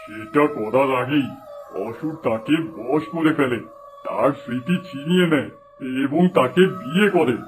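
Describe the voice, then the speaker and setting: A man's voice: mid-range voice, measured pace, over music, close to an everyday voice. A man voicing a grave, fatherly old wise man in a fairy-tale cartoon, speaking solemnly.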